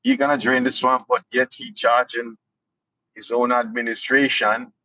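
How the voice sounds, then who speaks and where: A man's voice: medium pitch, sing-song, normal speed, gravelly, pushed and bright, dry recording. A Jamaican man giving casual political commentary, indignant and sarcastic. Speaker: vlogger. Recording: dull lo-fi dry sound.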